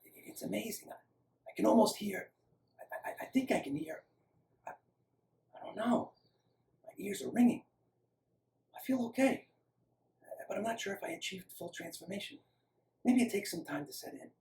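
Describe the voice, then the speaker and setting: Man acting zealous, halting young man, roomy echo. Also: on the high side, animated, vocal fry, darkish tone, slight background noise. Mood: dazed, hushed. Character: excited, obsessive young man recording his trepanation video. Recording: roomy, echoing, distant microphone.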